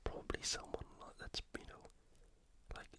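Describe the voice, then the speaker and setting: A video creator giving fight reactions in a whispered ASMR style, with hesitant, conversational phrasing. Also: moderate pace. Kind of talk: whispered ASMR sports commentary.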